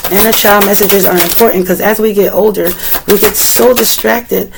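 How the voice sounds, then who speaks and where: A woman tarot reader with a deep, slightly rough voice, still getting over a cold, talking gently and meandering as she speaks to the viewer and reads aloud from a guidebook. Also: fairly even intonation, normal speed, noisy background. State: recovering from a cold. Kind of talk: casual tarot reading, partly read aloud from a guidebook.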